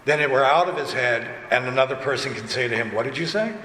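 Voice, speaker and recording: Man, middle pitch, casual panel talk, halting and chatty, reverberant hall, faint room noise. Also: pushed and bright. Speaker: director and actor speaking on a panel. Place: large convention panel room. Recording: echoey hall, distant, noisy room. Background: room ambience and noise.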